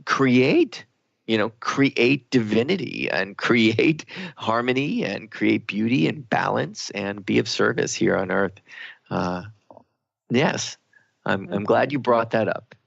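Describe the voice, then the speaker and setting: Male radio host, creaky voice, casual conversation, dry slightly dull recording. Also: mid-range voice, dry recording. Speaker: radio talk show host.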